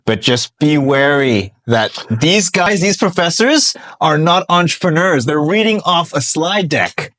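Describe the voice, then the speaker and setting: A man's voice: fairly high pitch, animated, unhurried, hardly any pauses, fairly bright tone. A man hosting a podcast, reacting to his guest in an informal, admiring way during a casual interview. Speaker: podcast host.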